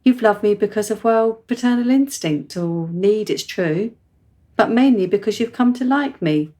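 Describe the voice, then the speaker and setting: Precocious girl played by an adult woman, articulate, forthright and insistent, mid-pitched, for radio drama. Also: lively intonation, moderate pace, dark tone, close to an everyday voice. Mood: earnest. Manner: articulate, formal wording, insistent. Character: precocious, forthright, sharply probing young girl. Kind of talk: radio play acting.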